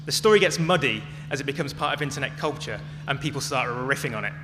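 A man's voice: mid-range voice, expressive, fast talker. Man giving a talk in an echoey hall. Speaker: conference speaker. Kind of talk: prepared public talk with storytelling. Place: large hall, talk to an audience. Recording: echoey hall with music behind.

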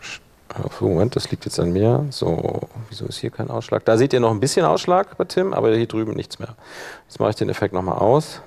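A man presenting a hands-on software workshop live, informally thinking aloud as he demonstrates each step. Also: on the low side, expressive, fairly quick, gravelly.

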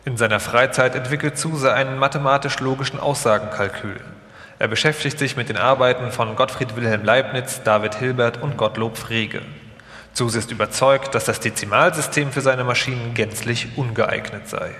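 A man's voice: medium pitch, fairly even intonation, moderate pace, pushed and bright. A man reading scripted documentary narration as the narrator of a live radio feature. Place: live stage performance in a hall. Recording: echoey hall, long reverb, some music.

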